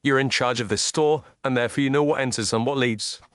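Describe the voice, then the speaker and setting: A man puts on an incisive gentleman detective from a storybook mystery. He speaks formally and with cool command, clipping his phrases. The voice is mid-pitched and recorded dry. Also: fast talker, dry recording, natural voice. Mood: cold, pressing.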